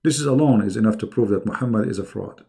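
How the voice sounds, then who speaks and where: A man's voice: on the low side, quick pace, gravelly, warm tone. A middle-aged man who speaks English as a second language hosts a live online debate in a mocking, emphatic, sarcastic way. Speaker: debate host.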